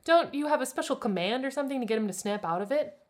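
A woman's voice: sits high, expressive, quick pace, voice pushed up. An indignant young woman acted by a female reader from a cartoon mystery tale. She grumbles and snaps with sarcasm, her intonation moving about freely. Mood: snappy. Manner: sarcastic, complaining.

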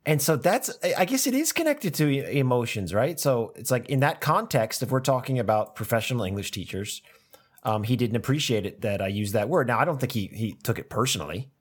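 Lively-voiced man thinking aloud. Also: mid-range voice, fairly quick, husky. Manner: thoughtful, repeats words while thinking aloud. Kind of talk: casual academic conversation.

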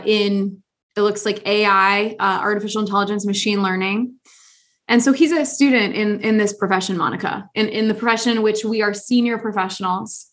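A woman hosting a casual podcast chat, talking in an animated, rambling way with many restarts. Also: medium pitch. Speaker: podcast host.

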